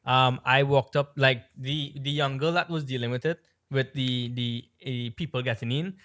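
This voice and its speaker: A man's voice: medium pitch, normal speed. Talkative Trinidadian-tinged man telling a story. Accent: Trinidadian-tinged American. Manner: animated, rambling storyteller with many fillers. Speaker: podcast co-host. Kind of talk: casual storytelling chat.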